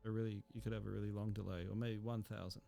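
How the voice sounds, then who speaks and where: A man teaching a software tutorial, explaining step by step in an unhurried way and thinking aloud with fillers. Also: fairly low pitch, flat delivery, gravelly. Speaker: instructor. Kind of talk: screen-recorded software tutorial.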